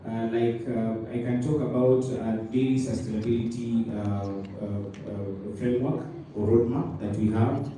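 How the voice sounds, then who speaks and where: A Kenyan man speaking on a panel as a dairy regulator, halting and full of fillers and restarts. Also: medium pitch, steady tone. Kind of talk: panel talk. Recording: roomy hall from a distance, loud noise.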